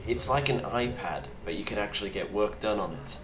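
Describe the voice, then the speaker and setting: Male actor as weary apocalypse survivor, lowish voice, blunt and grave video testimony, noisy roomy sound. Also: lively intonation, brisk, lightly acted. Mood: earnest, advising. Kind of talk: in-character monologue, found-footage video diary. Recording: roomy echo with clear background noise.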